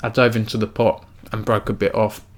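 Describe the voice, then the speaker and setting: Male news host, casual roundup, steady monotone with frequent fillers. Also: fairly low pitch, a little fast, a little hiss or hum. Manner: self-described monotone, filler-heavy delivery. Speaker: esports news editor and host.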